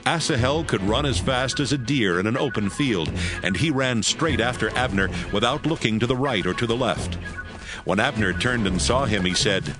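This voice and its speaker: A man narrating a Bible audio drama from a script in a measured storytelling manner. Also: medium pitch, lively intonation. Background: music.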